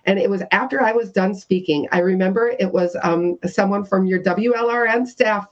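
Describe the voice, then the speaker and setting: A woman with a loud voice, a guest activist telling her story in a casual radio discussion, with many restarts. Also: mid-range voice, normal speed, highs cut off.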